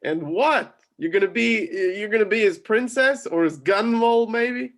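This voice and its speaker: Man playing disapproving, scornful guy, high male voice, halting delivery, amateur drama reading.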